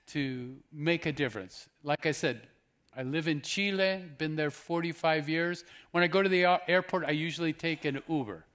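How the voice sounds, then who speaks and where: A man's voice: fairly high pitch, fairly bright tone. A man in his fifties, a guest preacher giving an earnest sermon on a church stage, asking the audience questions. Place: church stage with purple lighting.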